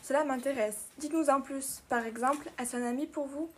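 A woman's voice: high-pitched, fairly quick, slight background noise. A woman journalist asking polite, scripted interview questions.

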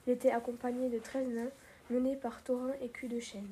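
A woman playing a fifty-year-old male hobbit who loves his tea, answering plainly and calmly in an amateur role-play interview. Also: a little high, a little fast, slight background noise, natural voice, rough recording.

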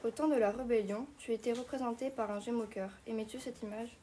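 A woman interviewer reading out her questions quickly. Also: a little high, fairly even intonation, dark tone, a little hiss or hum.

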